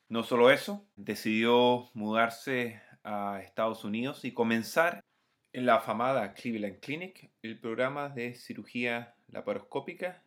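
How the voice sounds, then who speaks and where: A man hosting a podcast, reading a measured, formal introduction and interviewing. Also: on the low side. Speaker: podcast host.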